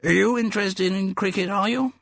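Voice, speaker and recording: A man's voice: high-pitched, fairly even intonation, brisk, creaky, a bit bright, no room sound, clean studio sound, voice pushed up, heavily acted. A man voicing a jovial, blustering man of about sixty with a hearty English public school accent, in an audiobook. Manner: jovial, blustering, chummy tag questions. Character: chubby sixtyish man, hearty, bluff, guffawing cricket enthusiast.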